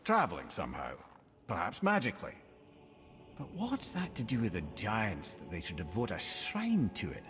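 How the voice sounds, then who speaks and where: An actor playing a wry, learned old advisor in a video game, with a Scottish accent and a wordy, musing, theatrical way of talking. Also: male voice, fairly low pitch, wildly swooping pitch, moderate pace, a bit bright. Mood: puzzled musing. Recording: long reverb, music underneath. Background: music.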